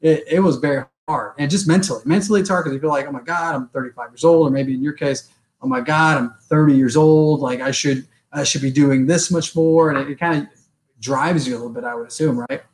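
A man's voice: a little high, brisk, a little mellow, poor audio. A middle-aged man hosting a casual interview, chatting candidly and rambling through his own stories. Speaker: podcast host.

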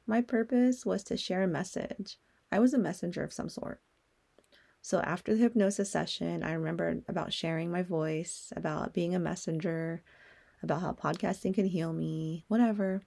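A woman's voice: fairly low pitch, creaky, a little mellow. A young woman hosting a solo podcast, talking in a candid, personal way and swearing now and then. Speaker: podcast host.

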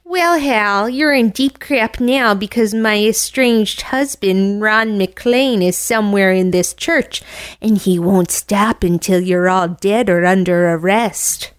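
A woman's voice: mid-range voice, slow, clear, resonant tone, no echo, close to an everyday voice. A woman playing a feisty, defiant American hostage in a 1940s-style radio play, speaking bluntly.

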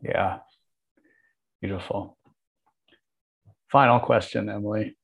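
A man hosting a podcast interview, gentle and appreciative as he asks questions and thanks his guest. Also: on the low side, steady tone, slow pace, creaky, slightly rough. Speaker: podcast host. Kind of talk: interview conversation. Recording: dull lo-fi sound.

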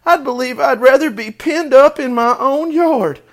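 A man's voice: extremely high pitch, unhurried, a bit bright, pitched above the performer's own voice. A man voicing a frightened, childlike young male pig in a children's storybook, plaintive and worried. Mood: bewildered.

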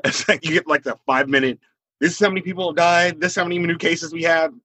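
Male, 40s, American. Husky, close dry sound, conversational, opinionated, thinks out loud. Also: a little high, steady tone, quick pace, no echo.

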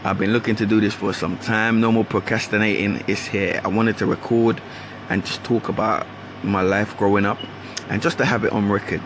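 A man's voice: on the low side, steady tone, fairly quick, noisy background. A man from Guyana with a London accent that keeps Caribbean traces, talking casually and rambling as he hosts his own podcast. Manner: rambling, slangy, restarts often. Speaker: podcast host. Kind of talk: casual solo monologue.

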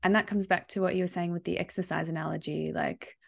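A woman hosts a relaxed podcast conversation. Her voice sits low with a gravelly edge to it. She agrees readily and laughs often as she talks. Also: fast talker. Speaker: podcast host. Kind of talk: casual interview chat.

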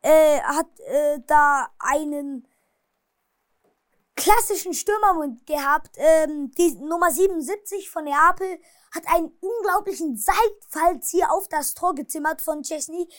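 Very high-voiced woman recapping football. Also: a little slow, no room sound, studio quality. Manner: hesitant, many fillers, apologizes for her pronunciation. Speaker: football news vlogger. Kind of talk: casual football recap and news.

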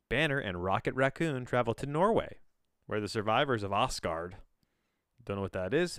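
Rambling, incredulous man with a clean, smooth voice. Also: fairly low pitch, fairly bright tone, no room sound, studio quality. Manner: rambling, incredulous, sardonic asides; casual swearing. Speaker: podcast host. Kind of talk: casual solo commentary while reading a plot synopsis aloud.

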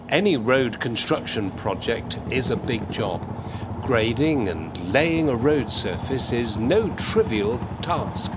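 A man's voice: medium pitch, noisy background. A man hosting a scripted on-location audio report, speaking theatrically and straight to the listener. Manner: theatrical, addresses the listener directly. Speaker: podcast host and narrator.

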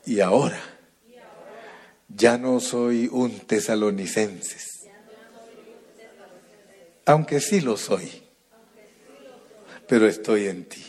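A man preaches at an evening church service with a deliberate, unhurried delivery in a somewhat low voice. He stresses key words and builds into a fervent, rising prayer. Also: darkish tone, slightly rough. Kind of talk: sermon and prayer.

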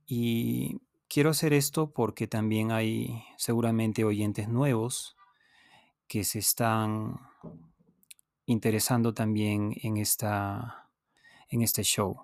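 Slow, low-voiced man teaching on a podcast. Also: level tone, gravelly, darkish tone, no echo, studio quality. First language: Spanish. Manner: deliberate, repetitive explaining with pauses, addressing the listener directly. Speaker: podcast host and language teacher. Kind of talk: unscripted instructional podcast monologue.

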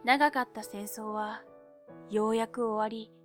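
A woman's voice: a little high, steady tone, soft background music, natural voice. A woman voicing an earnest, determined boy who narrates his own tale wistfully in an audio drama. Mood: reflective. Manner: boyish, wistful, measured. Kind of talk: scripted first-person drama narration.

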